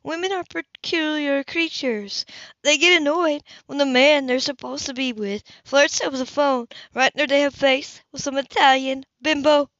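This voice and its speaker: A woman narrator acting out a proud, jealous woman tearing into her lover in a romance audiobook. Her voice is raised high, indignant and cutting, on a dull lo-fi recording. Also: steady tone, normal speed, a bit bright, no echo. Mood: furious.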